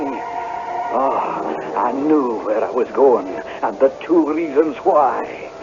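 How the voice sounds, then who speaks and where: An actor playing a desperate tenant piper, by turns humble and defiant, in an old-time radio drama. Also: male voice, a little high. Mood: urgent narrating. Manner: deferential stammering that turns to impassioned declaiming. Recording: dull, noisy vintage radio recording.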